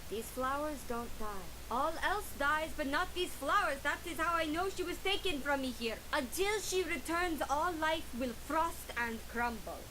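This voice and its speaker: Sharp-tongued stage actress, high-pitched, dramatic and defiant, rehearsing grieving goddess for a game log; clear hiss. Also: female voice, expressive, normal speed, few pauses. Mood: anguished, grieving. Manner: dramatic declaiming, exasperated retorts.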